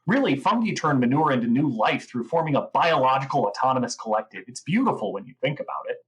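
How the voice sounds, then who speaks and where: A woman's voice: rumbling low, animated, fast talker, low-quality recording. A woman playing a gushing, rambling gnome who is obsessed with mushrooms, in a comedic audio drama. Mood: eager lecturing. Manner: gushing, rambling, wide-eyed enthusiasm.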